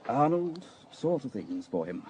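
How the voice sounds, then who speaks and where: An actor playing a cordial, worldly chief inspector in a radio drama, speaking in a courteous, knowing way. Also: male voice, mid-range voice, very animated, measured pace, mellow, a little hiss or hum. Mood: knowing, discreet. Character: cordial, worldly male chief inspector sharing gossip discreetly. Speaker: police inspector.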